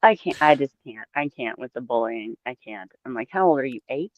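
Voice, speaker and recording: A woman's voice: a bass voice, animated, brisk. A woman co-hosting a live stream in casual chat, with playful, wry banter, on a dull lo-fi microphone. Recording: dull, lo-fi dry mic.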